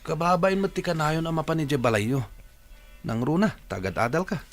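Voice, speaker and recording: A man's voice: fairly high pitch, fairly quick, soft background music, natural voice. A man playing an evasive, irritable young boyfriend in an audio drama. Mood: irritated, evasive.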